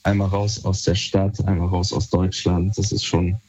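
A young man from Berlin with a lowish, dark and relaxed voice. He answers casually in laid-back, colloquial speech, and the recording is a little dull. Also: normal speed, breathy edge. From Germany, Berlin. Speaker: interviewee. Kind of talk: casual chat. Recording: slightly dull, muffled audio.

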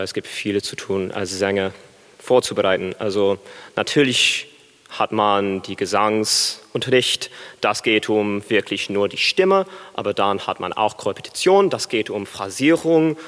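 A young American man speaking hesitant, halting German with an American accent, his voice on the low side. He pauses often and searches for words. A long reverb hangs behind him. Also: a bit bright. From USA. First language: English. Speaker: baritone music student. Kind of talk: live stage interview.

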